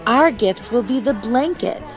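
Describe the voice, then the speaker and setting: A woman's voice: fairly high pitch, animated, a little slow, over music. A woman voicing an earnest, helpful young village girl in a storybook, speaking simply. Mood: proud.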